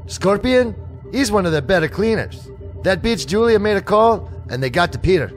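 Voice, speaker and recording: Streetwise underworld sidekick for radio play. Higher-pitched, lively intonation, blunt. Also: male voice, moderate pace, faint music behind. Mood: agitated. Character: Carlos, blunt tough male underworld ally. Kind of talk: radio drama acting.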